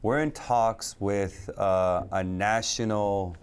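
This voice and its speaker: A man from a web design company talking casually in an interview about his work and clients, in a relaxed businesslike way. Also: on the low side, slow, clear, resonant tone. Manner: relaxed, businesslike, uses sports metaphors.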